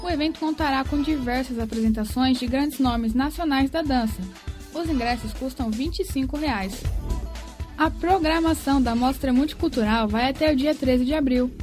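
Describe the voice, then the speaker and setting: A woman's voice: sits high, normal speed, darkish tone, soft background music. A woman with a Brazilian accent presenting as a radio co-host.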